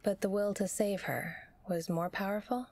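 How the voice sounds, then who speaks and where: Actress as probing interviewer, female, mid-pitched, calm, attentive, measured, audio drama. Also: no room sound, natural voice.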